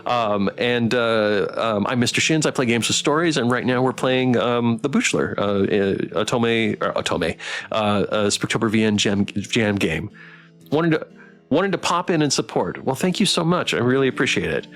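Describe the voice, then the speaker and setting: A man's voice: medium pitch, animated, normal speed, a bit bright, soft background music. A man chatting casually as a livestream host, hesitant and restarting his phrases, thanking people appreciatively. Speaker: streamer playing and reading a visual novel aloud.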